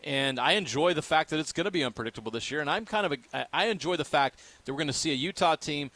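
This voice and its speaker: Bright, forceful American sports talk man. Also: a little high, expressive, fast talker. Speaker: sports radio co-host.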